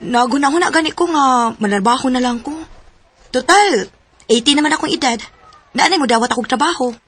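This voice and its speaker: A woman's voice: high-pitched, animated, fairly quick, a bit bright, slightly rough, full character voice. A young woman playing a struggling student who confides her family troubles to a friend, in a radio drama. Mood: sad. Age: young adult.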